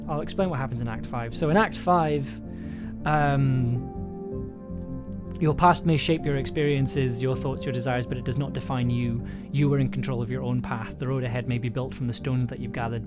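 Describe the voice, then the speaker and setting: Livestream host, medium pitch, casual and halting, thinks aloud, music underneath. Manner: hesitant, halting, self-interrupting, thinking aloud. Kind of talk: casual livestream chat while writing and reading aloud. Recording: music playing behind the voice. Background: music, computer keyboard typing.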